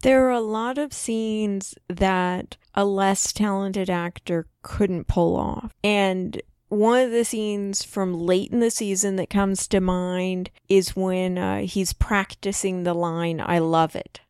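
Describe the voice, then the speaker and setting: Woman, podcast co-host, medium pitch, casual discussion, conversational, dry echo-free sound. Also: steady tone, slow, hardly any pauses, a little mellow, smooth.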